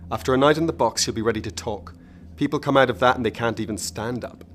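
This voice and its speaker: A man's voice: medium pitch, fast, fairly bright tone, slight background noise, lightly acted. A man acting a callous, obedient henchman agent in a radio drama, matter-of-fact and menacing.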